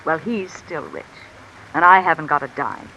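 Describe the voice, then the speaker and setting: A woman's voice: sits low, moderate pace, exaggerated voice, poor audio. A woman playing a calculating, discontented wife with an insinuating, controlled manner in 1950s radio mystery style. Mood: bitter, grasping. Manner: insinuating, controlled, coolly commanding. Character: cool, calculating, discontented wife blackmailing a man. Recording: old radio recording with steady rain noise. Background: rain falling.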